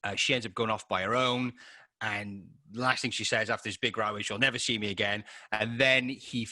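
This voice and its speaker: A man's voice: lowish voice, steady tone, brisk, pushed and bright, dry recording. A man in his late thirties chatting casually and a little hesitantly as he co-hosts a film review. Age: late 30s. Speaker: podcast co-host and filmmaker.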